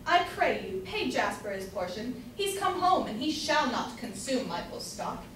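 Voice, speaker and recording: A woman's voice: high-pitched, sing-song, normal speed. A young woman playing a scolding, fretful wife and mother in an amateur staged reading, emphatic and declamatory. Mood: scolding, exasperated. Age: young adult. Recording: echoey hall from far off, noisy.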